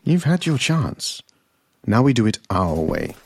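An actor playing a stern, menacing veteran state interrogator in a sci-fi audio drama, controlled and deliberate in his threats. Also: medium pitch, sing-song, gravelly, darkish tone, slight background noise. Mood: grim insistence.

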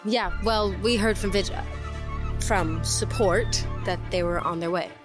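A woman's voice: medium pitch, unhurried, over music, lightly acted. A woman playing a casual superhero in an audio-drama interview, hesitant and stumbling over her words.

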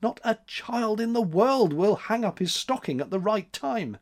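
A man's voice: sits high, animated, a little slow, pitched above the performer's own voice. A man voicing a vociferous, aggrieved spirit of a month, complaining in a storybook reading.

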